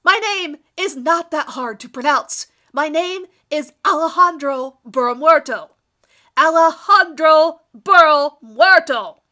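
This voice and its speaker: A woman's voice: sits high, slow pace, pushed and bright, pitched above the performer's own voice, exaggerated voice. A woman voicing an arrogant, easily irritated teenage boy heartthrob in a dramatic fan fiction reading, disdainful and self-satisfied. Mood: irritated, indignant.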